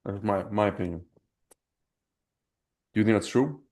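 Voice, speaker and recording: A man's voice: on the low side, moderate pace, a little mellow. A young man hosting a podcast, talking in a loose, rambling way with many restarts. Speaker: podcast host. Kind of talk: casual chat.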